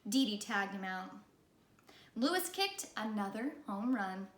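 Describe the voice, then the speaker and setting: A woman reading a children's story aloud in the animated style of a teacher. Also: mid-range voice, slow, recorded from a distance. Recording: echoey room.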